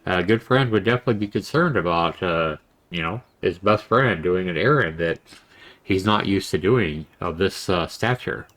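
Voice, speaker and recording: A man with a fairly low voice talks through a video game in a casual, conversational way. He switches between relaxed remarks and reading the story text aloud. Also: moderate pace. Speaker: game reviewer and streamer. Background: game music.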